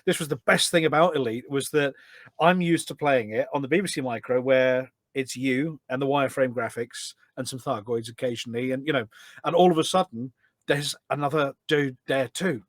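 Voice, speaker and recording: A man's voice: medium pitch, moderate pace, dry recording. A middle-aged man chatting casually as a podcast guest, enthusiastic and full of anecdotes. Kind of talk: casual chat. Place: indoors at a desk at home.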